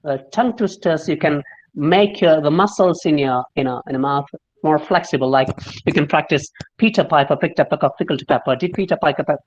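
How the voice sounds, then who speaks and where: A man's voice: on the high side, a little fast, lo-fi sound. A man from Kerala with a Malayali English accent, a speaking coach explaining and demonstrating pronunciation in a friendly online lesson, often spelling words out letter by letter. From Kerala, India.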